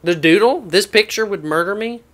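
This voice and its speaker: A man playing a blunt, bossy therapist hosting a comedy sketch, pressing his patient and jumping loud when he insists. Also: high voice, normal speed. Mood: insistent. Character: pushy, self-important male TV therapist, blunt and bossy. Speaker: host.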